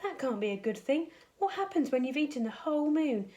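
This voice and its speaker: A woman's voice: high voice, big pitch swings, a little fast, mellow, voice pushed up. A woman voicing a curious, slightly worried little boy in a children's storybook, hesitant and blurting out questions.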